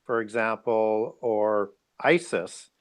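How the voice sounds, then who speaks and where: A man's voice: lowish voice, slow. A man speaking as an interview guest, explaining his views earnestly and discursively, often checking in with small tags.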